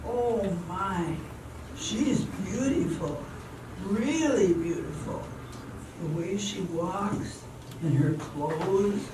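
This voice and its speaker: A woman's voice: mid-range voice, drawn-out words. A woman playing a naive, eager but self-doubting young small-town dancer in a theatrical amateur radio drama, hesitant and wide-eyed. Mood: wistful, admiring. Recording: very echoey hall, distant mic, background noise.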